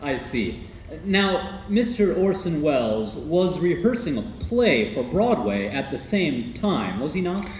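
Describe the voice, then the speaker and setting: A man's voice: a little high, very animated, unhurried. A man playing a courteous, polished host announcing an old-time radio playhouse in a formal, showmanlike way. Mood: courteous, inquisitive. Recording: roomy echo, faint background noise.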